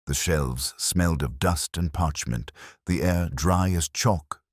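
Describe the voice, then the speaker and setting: A man reading a scripted first-person detective story in a measured, literary and brooding manner. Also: a bass voice, dry recording, clean studio sound. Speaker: narrator.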